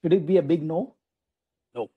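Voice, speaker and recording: A man's voice: fairly high pitch, moderate pace, breathy edge, phone-quality sound. A man giving a conversational online lecture to teachers, with many restarts and "you know" asides, over a video call. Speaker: guest lecturer at a faculty development program.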